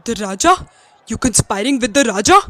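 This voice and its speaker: A woman's voice: fairly high pitch, animated, brisk, sharp tone. A woman playing a loyal, indignant rebel worker in a theatrical audio drama. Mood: indignant, shocked. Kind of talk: theatrical stage-play acting in an audio drama.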